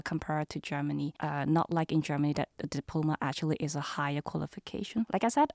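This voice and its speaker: A woman from Hong Kong whose first language is Cantonese speaks casually as a podcast guest, with fillers and frequent laughter. Her voice sits a little low. The recording is dry and close. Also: no room sound. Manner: chatty, laughs often, many fillers. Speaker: guest, student ambassador.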